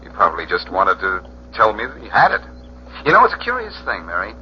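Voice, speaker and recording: An actor playing a wry, jaunty, wisecracking detective hero in a 1940s radio drama. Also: male voice, on the low side, wildly swooping pitch, fast talker. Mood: breezy. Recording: muffled, hissy 1940s radio recording.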